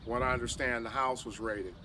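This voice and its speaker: A man's voice: medium pitch, fairly even intonation, brisk. A man with a head cold and a slightly nasal voice hosts an interview outdoors in a city park, rambling with many fillers. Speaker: host. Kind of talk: casual interview introduction. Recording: distant mic outdoors with clear street noise.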